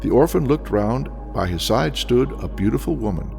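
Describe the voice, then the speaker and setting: Male audiobook storyteller, measured and very animated in intonation, heavy reverb over music. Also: mid-range voice, creaky. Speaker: audiobook narrator. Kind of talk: scripted fairy-tale narration. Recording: long reverb, music behind the voice. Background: background music.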